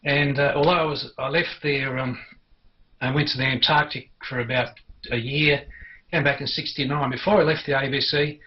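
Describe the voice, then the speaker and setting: An older man with an Australian accent tells his own story off the cuff, pausing and picking his way through the details. His voice sits at an ordinary male pitch. The sound is dull and lo-fi, like amateur video. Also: steady tone. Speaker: amateur television enthusiast recounting the past. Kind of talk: unscripted personal reminiscence.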